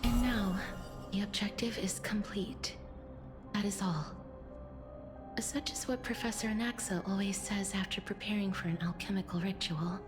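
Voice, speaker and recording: An actress playing a gentle, solemn young woman in a video game, speaking in a soft, breathy, measured and formal way. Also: mid-range voice, steady tone, lightly acted. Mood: solemn tender. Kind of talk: video game story dialogue. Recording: background music under the voice. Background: game music.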